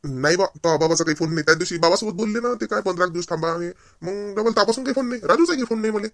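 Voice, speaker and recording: High-voiced grumbling husband played by a man, Vidarbha Marathi. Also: a bit bright, dry recording, studio quality. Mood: explaining. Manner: mock-lamenting, sarcastic, drawn-out emphasis. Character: henpecked, grumbling husband and son-in-law. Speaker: comic cartoon character. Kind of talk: comedy cartoon dialogue.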